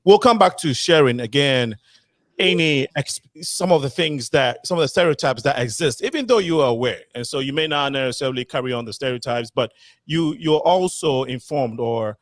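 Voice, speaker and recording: A man's voice: mid-range voice, expressive, normal speed, no room sound. Man hosting a panel, dry sound. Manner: long rambling questions with fillers. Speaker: talk show host. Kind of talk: panel discussion moderating.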